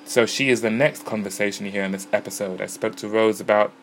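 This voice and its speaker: A man's voice: on the low side, fairly even intonation, fast. A man hosting a music podcast, chatting casually and easily about bands and gigs. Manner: chatty, easygoing, self-deprecating. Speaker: podcast host. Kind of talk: casual podcast narration and interviews. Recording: noisy pub smoking area with crowd.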